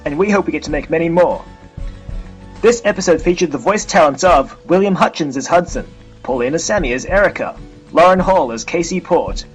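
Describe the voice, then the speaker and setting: A man's voice: fairly high pitch, rough recording. A man hosting an audio play, reading a scripted closing credits announcement. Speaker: host and voice actor.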